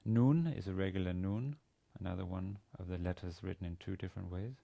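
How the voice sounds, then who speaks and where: Deep, gravelly Danish-accented man teaching. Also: mellow. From Denmark. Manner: halting, hesitant delivery with repeated words. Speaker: university language teacher. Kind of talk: instructional lecture. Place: indoor studio with plain grey backdrop.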